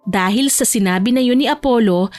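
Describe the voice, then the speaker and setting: A woman's voice: a little high, a little fast, darkish tone, soft background music. A woman narrating a scripted romance story as an audiobook-style narrator.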